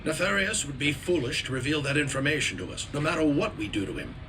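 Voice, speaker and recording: An actor playing a seasoned old alien general in a video game, a gruff, commanding mentor who instructs theatrically. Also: male voice, fairly high pitch, expressive, fast talker, noisy background, natural voice. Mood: thoughtful. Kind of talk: video game cutscene acting.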